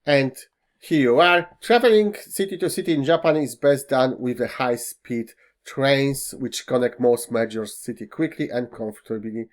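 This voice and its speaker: A man whose first language is Polish walks through a web browser's features in a screen-recorded tutorial, pausing often with fillers and slightly non-native phrasing. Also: medium pitch. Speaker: tech tutorial host. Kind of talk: screen-recorded software walkthrough.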